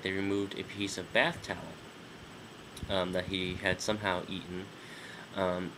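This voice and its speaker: A man's voice: low voice, slight background noise, low-quality recording. A man in his twenties, nasal from a sinus infection, giving a rambling casual vlog update full of ums and restarts. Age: 20s. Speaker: vlogger.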